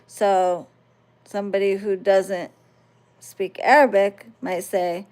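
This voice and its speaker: A woman's voice: mid-range voice, flat delivery, slow, darkish tone. A woman from the United States, casually talking to her viewers and often checking that they follow along. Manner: conversational, checks listeners understand. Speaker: spiritual channel host.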